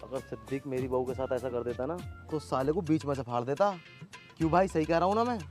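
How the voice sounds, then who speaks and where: A man acting a hot-headed, boastful village politician in a comedy skit, loud and coarse-tongued. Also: mid-range voice, fast, lightly acted. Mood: indignant. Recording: loud music under the voice.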